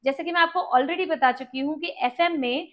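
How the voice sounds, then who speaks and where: High-pitched woman presenting an explainer. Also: normal speed, fairly bright tone. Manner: instructional, addresses viewers with questions and answers. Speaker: video host and presenter. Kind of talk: explainer video to camera, Hindi mixed with English terms.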